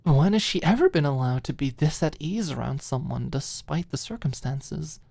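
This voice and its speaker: A woman's voice: deep voice, expressive, moderate pace, creaky, dark tone, dry recording. A woman narrating a scripted ASMR audiobook story, close to the microphone.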